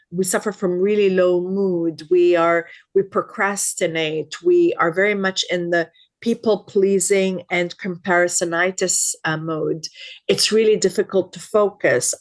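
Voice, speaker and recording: Earnest woman in her fifties, emphatic. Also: fairly low pitch. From Lebanon. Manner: earnest, repeats words for emphasis. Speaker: guest expert. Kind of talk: podcast interview.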